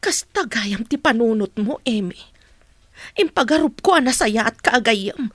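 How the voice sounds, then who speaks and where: A woman's voice: a little high, animated, unhurried, a bit bright, no room sound. An actress playing a loyal, outspoken woman in a radio drama, speaking earnestly and emphatically on behalf of her friend. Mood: indignant, accusing.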